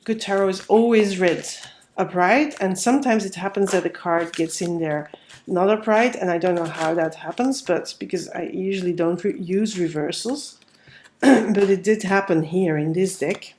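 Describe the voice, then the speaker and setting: A woman's voice: on the low side, moderate pace, darkish tone, slight background noise. A woman with a cold and a nasal voice, giving a casual tarot reading and talking through each card as she lays it out. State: has a cold. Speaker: tarot reader.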